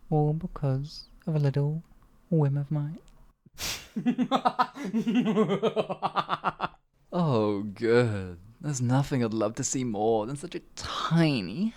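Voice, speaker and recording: A man voicing a sadistic male wizard in an ASMR fantasy roleplay, cruel yet doting, teasing and cooing with soft whispers. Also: medium pitch. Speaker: voice actor.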